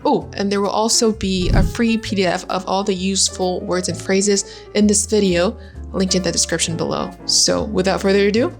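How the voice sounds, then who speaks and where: A woman's voice: medium pitch, steady tone, normal speed, soft background music. A young adult woman, a native Vietnamese speaker, talking as an English tutor in a casual, chatty video lesson, often dropping in "like". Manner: friendly, chatty, frequent "like" fillers. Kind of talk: casual YouTube lesson talk.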